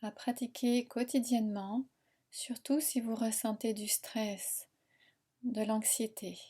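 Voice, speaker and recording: A woman guiding a meditation in a soothing, unhurried, instructing manner with long pauses. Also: on the high side, fairly even intonation, mellow, slightly rough. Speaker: relaxation and yoga nidra guide. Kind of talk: guided meditation narration.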